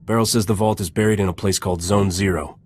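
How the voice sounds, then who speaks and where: A man's voice: on the low side, steady tone, fast talker, a little mellow, slight background noise, close to an everyday voice. A man playing a cocky, flirtatious smuggler captain in a video game, wry and flippant. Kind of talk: video game character acting.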